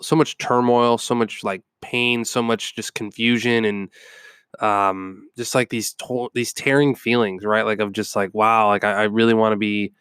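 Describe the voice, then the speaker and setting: A man's voice: lowish voice, flat delivery, normal speed, a bit bright, smooth, dry recording, studio quality. A young adult man with a stuffed-up cold, hosting a podcast and telling a personal story in a rambling way with many ums. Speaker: podcast host. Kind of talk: casual personal storytelling monologue.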